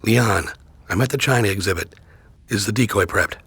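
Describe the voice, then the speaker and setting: An actor playing a determined, terse and serious agent on the run in a video game cutscene. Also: male voice, on the low side, moderate pace, fairly bright tone, slightly rough. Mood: focused. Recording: music behind the voice.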